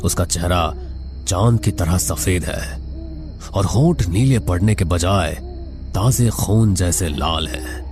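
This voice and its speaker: A man's voice: low-pitched, takes it slowly, music in the background. A man narrating a scripted horror story in a dramatic, suspenseful manner.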